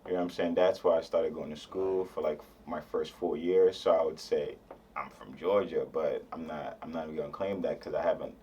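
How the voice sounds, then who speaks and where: A man's voice: a bass voice, steady tone, brisk, warm tone. A young man from Nigeria answering interview questions in a casual, rambling way with slang fillers. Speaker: guest musician. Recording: distant, slightly muffled mic with faint background noise.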